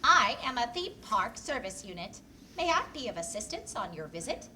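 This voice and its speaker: A woman's voice: on the high side, sing-song, normal speed, pushed and bright, heavily acted. A woman playing an officious theme park service robot in a comic radio drama, with formal, stilted phrasing. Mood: polite, helpful. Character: polite, officious female theme park robot droid, turns menacing.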